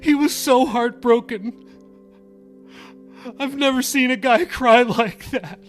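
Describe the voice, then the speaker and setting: A man's voice: extremely high pitch, takes it slowly, faint music behind, voice pushed up. A man voicing an anguished, guilt-ridden young woman in an audiobook, choked and sobbing. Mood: choked sorrow. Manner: wailing, self-blaming. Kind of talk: audiobook character voice.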